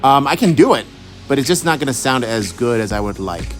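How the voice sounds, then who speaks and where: A young man from Houston talks through fan questions about his voice work in a relaxed, rambling way, stopping and restarting his thoughts often. His voice sits at an ordinary middle pitch. Soft music plays faintly underneath. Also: fairly quick. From Houston, Texas. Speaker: voice actor answering follower questions. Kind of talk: casual Q&A monologue.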